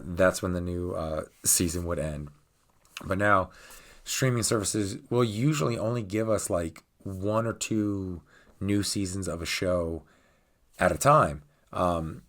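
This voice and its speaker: A very deep-voiced middle-aged man, still raspy as he gets over a cold. He hosts his own channel and rants casually, rambling and filling pauses with ums and uhs. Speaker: channel host. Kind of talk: casual vlog rant.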